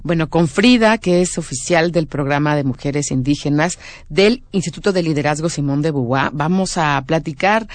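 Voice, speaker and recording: A woman's voice: low-pitched, moderate pace, no echo. A woman with a Mexican accent hosting a radio show in a conversational interview style.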